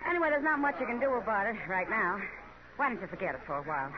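A woman's voice: medium pitch, very animated, fast, lightly acted. A woman with an American accent playing a friendly, worldly saloon woman in a 1950s radio western. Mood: coaxing. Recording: muffled, noisy old radio broadcast.

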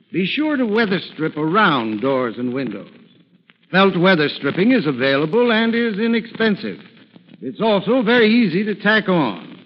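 A man giving scripted advice as an expert in a 1940s radio advertisement, in a formal, instructive manner. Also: high-pitched. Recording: muffled, hissy old radio broadcast.

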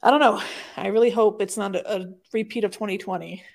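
Excitable woman podcast co-host, cursing. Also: mid-range voice, fast talker, vocal fry. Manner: blunt, swears a lot, excitable. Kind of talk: casual chat.

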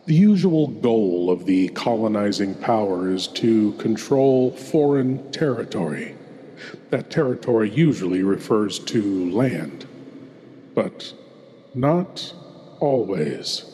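Slow, theatrical male horror host in cavernous reverb. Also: on the low side, expressive. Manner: dramatic, deliberate, hushed at times. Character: ghoulish horror host lord persona. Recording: long hall reverb.

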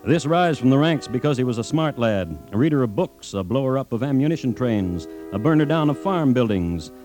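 An actor narrating an old-time radio drama as a dry, sardonic storyteller with a deadpan manner. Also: male voice, medium pitch, brisk, lightly acted. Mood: wry. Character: dry, sardonic male storyteller of outlaw tales. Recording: vintage radio recording, music underneath.

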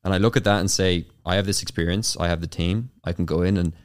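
Man, irish accent, young adult, low voice, rapid casual chat, lots of restarts. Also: fairly even intonation, clean tone. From Ireland. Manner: fast, filler-heavy with many restarts. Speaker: YouTube consultant, guest. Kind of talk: casual interview answers.

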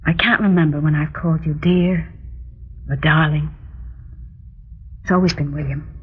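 An actress playing an anxious, devoted wife in an old radio drama, speaking earnestly and pleadingly in formal diction with a husky voice. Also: female voice, sits low, fairly even intonation, moderate pace. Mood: tender, pleading. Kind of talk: mid-century radio drama acting. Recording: dull, lo-fi old radio recording.

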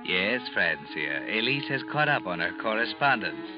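A man playing a sardonic, knowing narrator of a 1940s radio thriller, speaking deliberately and ominously. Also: fairly low pitch, big pitch swings. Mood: ominous, taunting. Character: sardonic, omniscient male narrator addressing the guilty character. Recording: muffled old radio recording, loud music behind.

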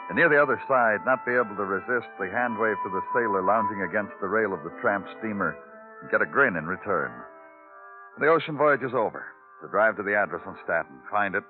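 An actor playing a terse, brooding police detective in an old-time radio crime drama, speaking and narrating in clipped, poetic phrases. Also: male voice, deep voice, close to an everyday voice. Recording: muffled, tinny old radio recording with music.

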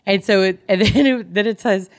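A woman co-hosting a casual book discussion, retelling things in an animated way and acting out dialogue. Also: medium pitch, moderate pace, dry recording, clean studio sound. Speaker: podcast co-host.

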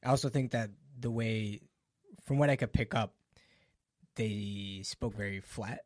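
A man's voice: on the low side, unhurried, darkish tone, dry recording, studio quality. Laughing man retelling film scenes. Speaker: film discussion co-host. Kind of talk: casual film discussion.

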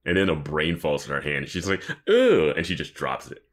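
Deep guy on a podcast, super animated, joking around and riffing casually. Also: a little fast. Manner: riffing with mock quotes and frequent "like". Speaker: podcast co-host. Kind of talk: casual chat, joking commentary.

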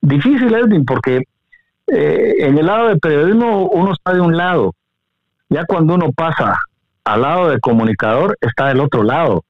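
A middle-aged Guatemalan man, a veteran broadcaster, reminiscing in an interview in a measured, courteous way. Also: on the high side, lively intonation, no echo. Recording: dry, narrow phone-like line.

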